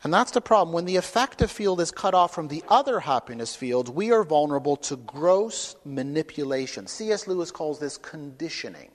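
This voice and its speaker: A man giving a public lecture and taking questions, speaking emphatically and building to loud, forceful peaks. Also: on the high side, expressive, a little fast, hardly any pauses. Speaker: speaker at a talk. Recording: reverberant hall.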